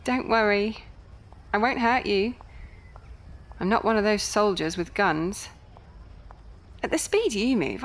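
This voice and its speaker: An actress playing a young, sardonic Victorian nurse with a wry, chatty manner in an audio drama. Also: female voice, fairly high pitch. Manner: wry, conversational, quick to grumble.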